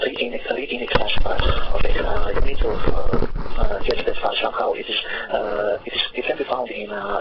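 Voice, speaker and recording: A man from Croatia with a Croatian accent gives an unscripted, halting software tutorial as a non-native speaker. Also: a little high, gravelly. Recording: dull, noisy, distant-sounding recording.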